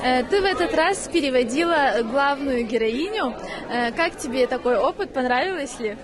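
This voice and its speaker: A woman's voice: high-pitched, lively intonation, moderate pace. A woman interviewing viewers and a voice actress, asking friendly, chatty questions. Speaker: interviewer for the cartoon's team. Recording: crowd noise around. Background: crowd chatter.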